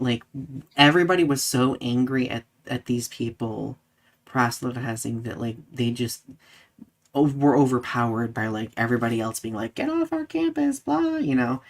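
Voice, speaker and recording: Young adult podcast co-host with a middling, androgynous pitch; chatty storyteller who voices people's reactions as they go. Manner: animated retelling with quoted reactions. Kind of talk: casual conversational storytelling.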